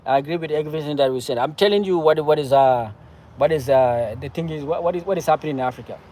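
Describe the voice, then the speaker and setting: A man from Cameroon with a Cameroonian accent, speaking off the cuff about politics in a halting, repetitive, emphatic way. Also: fairly high pitch, mellow. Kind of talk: impassioned political discussion, casual and unscripted.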